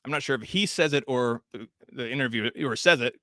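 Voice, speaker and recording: A man's voice: medium pitch, fairly quick, bright, forceful tone, no room sound, clean studio sound. A man hosting and introducing a roundtable panel, talking with wry, sarcastic asides and frequent "uh" fillers. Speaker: host and editor.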